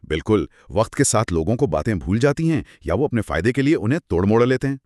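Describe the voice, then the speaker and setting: A man co-hosting a scripted explanatory discussion, speaking in a measured, emphatic, didactic way. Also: lowish voice, big pitch swings, a little mellow, no room sound, clean studio sound. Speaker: co-host explaining law.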